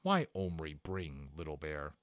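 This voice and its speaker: A man's voice: extremely low pitch, sing-song, a little slow, no echo, voice pushed down, heavily acted. A man playing a solemn, grieving warrior chief in a storybook, speaking in terse broken sentences. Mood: solemn, reproachful.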